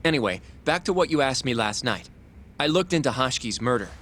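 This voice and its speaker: A man's voice: mid-range voice, lively intonation, a little fast, a bit bright, a little hiss or hum, natural voice. A male actor playing an earnest, precise assistant who reports in an orderly, matter-of-fact way in a video game cutscene. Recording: faint music behind the voice. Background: faint background music.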